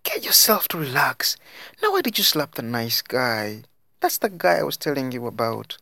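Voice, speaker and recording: Man putting on a squeaky, pleading young woman. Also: wildly swooping pitch, normal speed, vocal fry, sharp tone, no room sound, heavily acted. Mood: pleading, exasperated. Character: shy, anxious young woman, pleading friend.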